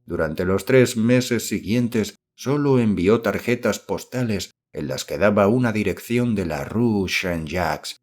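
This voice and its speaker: A man reading a literary horror story as an audiobook narrator, in measured, formal scripted narration. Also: sits low.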